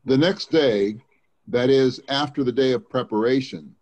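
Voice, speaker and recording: A man's voice: medium pitch, unhurried, a little mellow. A man giving a dramatic scripture reading as one of several readers. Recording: slightly dull, lo-fi audio.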